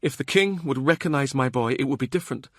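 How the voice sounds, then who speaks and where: A man's voice: medium pitch, fast talker, dry recording, studio quality, pitched above the performer's own voice, lightly acted. A man voicing a young widowed noblewoman in an audiobook, fretful, confiding and wistful. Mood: aggrieved, weary.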